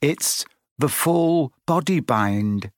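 Man voicing an earnest, high-pitched schoolgirl. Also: wildly swooping pitch, normal speed, dry recording, studio quality, full character voice. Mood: miserable. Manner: apologetic, pleading. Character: earnest, anxious, kindly schoolgirl.

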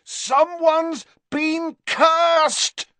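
Man acting out an urgent, high-voiced teenage boy wizard. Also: very animated, unhurried, breathy edge, no echo, full character voice. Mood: urgent, shouting. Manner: urgent, forceful. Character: shouting, panicked teenage schoolboy wizard, urgent and bold.

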